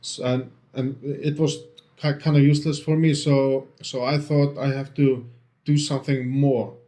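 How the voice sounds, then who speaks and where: A man's voice: mid-range voice, little pitch movement, moderate pace, warm tone. A man from Iceland with an Icelandic accent, giving casual interview answers in a hesitant way, with many fillers and restarts. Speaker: interview guest, book restorer. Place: home.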